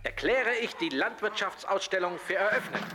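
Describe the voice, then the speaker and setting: High-pitched pompous town official. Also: male voice, lively intonation, moderate pace. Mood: ceremonious. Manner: declamatory, formal. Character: pompous, ceremonious male small-town official. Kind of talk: German radio drama acting. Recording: loud music behind the voice.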